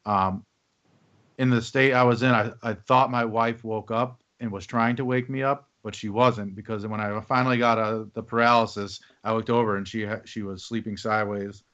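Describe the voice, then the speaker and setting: A man with a lowish voice hosts a podcast, rambling through a personal account with plenty of fillers. The recording sounds dull and lo-fi. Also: steady tone, moderate pace, a bit bright. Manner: rambling, with fillers and back-channel murmurs. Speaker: podcast host. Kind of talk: casual conversation.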